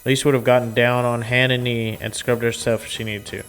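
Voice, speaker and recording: Smooth-voiced man narrating a visual novel. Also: mid-range voice, little pitch movement, fairly quick, fairly bright tone, soft background music. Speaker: gaming streamer reading a visual novel aloud. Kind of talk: narration read aloud with casual asides.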